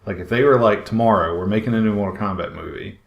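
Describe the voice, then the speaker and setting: Male podcast co-host, low-pitched, opinionated, casual conversational delivery. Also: quick pace, gravelly, dull audio, a little hiss or hum. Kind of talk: casual film discussion chat.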